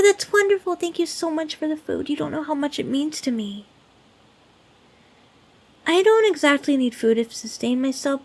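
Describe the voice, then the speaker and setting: A woman voicing a lonely, sweetly clingy demon in an ASMR roleplay, speaking to the listener in a gentle, pleading, earnest way. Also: sits high. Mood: delighted, grateful, then gentle, concerned. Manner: gentle, pleading, earnest, with hesitant stammers and hushed dips.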